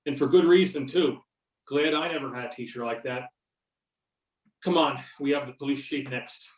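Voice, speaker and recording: A man's voice: mid-range voice, normal speed, lightly acted. A man playing a courteous, inquisitive investigator in an amateur readers theater, businesslike, recorded in a roomy echo. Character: courteous male investigator questioning witnesses. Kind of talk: amateur readers theater reading over videoconference.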